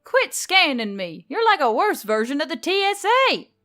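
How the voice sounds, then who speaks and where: A woman voicing a feisty, irritable young woman biker with a loud, sarcastic, snappy delivery. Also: high voice, very animated, moderate pace, soft background music, pitched above the performer's own voice, heavily acted. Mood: irritated, defensive.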